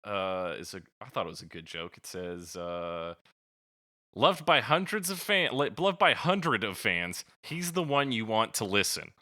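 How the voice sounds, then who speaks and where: Bright-voiced man in dry close podcast chat. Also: on the low side, big pitch swings, a little slow, dry recording. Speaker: podcast co-host. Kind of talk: casual chat about music.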